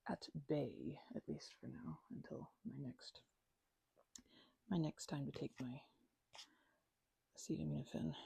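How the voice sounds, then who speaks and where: A woman acts out a groggy, grateful young female housemate in an ASMR roleplay. She speaks very slowly in a soft, husky voice with plenty of creak, rambling and trailing off mid-sentence. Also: deep voice, no room sound, full character voice, low-quality recording.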